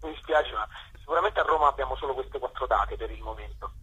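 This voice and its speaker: Very raspy, halting man on the phone. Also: mid-range voice, sharp tone, rough recording. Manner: hesitant, halting, trailing off mid-word. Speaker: guest theatre director and actor promoting a show. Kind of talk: casual radio phone interview.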